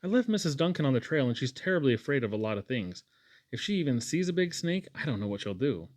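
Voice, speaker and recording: A humble young working man from a storybook, read by a man. He is earnest, shy and polite, at an ordinary pitch in a dry recording. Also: big pitch swings, quick pace, a little mellow, no room sound, natural voice. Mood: reluctant.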